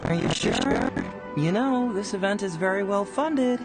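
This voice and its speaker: A young man with a very animated, swooping intonation, crafty yet affable. He coaxes politely and playfully as a video game official, with loud music underneath. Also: high-pitched, a little slow, gravelly, heavily acted. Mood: persuasive.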